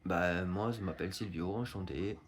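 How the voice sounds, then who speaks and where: Man, thirties, very low pitch, hesitant, lots of fillers, casual chat. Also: moderate pace. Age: 30s. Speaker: vlogger's partner.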